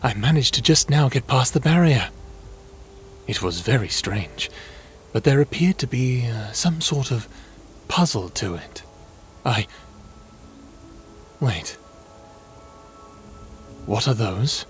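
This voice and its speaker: A man's voice: fairly low pitch, expressive, slow, pauses often, faint music behind. A man in a fantasy audio drama playing a lonely, brooding god of the underworld, earnest and hesitant in his speech. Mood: flustered, concerned.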